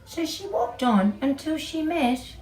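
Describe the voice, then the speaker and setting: A woman's voice: high-pitched, animated, unhurried, darkish tone. Female children's storyteller, very varied intonation, roomy echo. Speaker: early childhood educator telling a children's story. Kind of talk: animated storytelling for young children. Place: small indoor playroom with bookshelves, seated on floor. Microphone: camera several feet away. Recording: distant mic, roomy echo, background noise.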